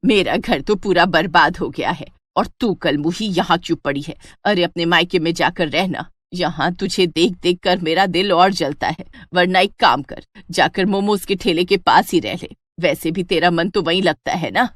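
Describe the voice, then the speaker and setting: A woman's voice: lowish voice, normal speed, hardly any pauses, dry recording, close to an everyday voice. A woman playing a scolding middle-aged mother-in-law. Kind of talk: dramatic Hindi moral-story voice acting.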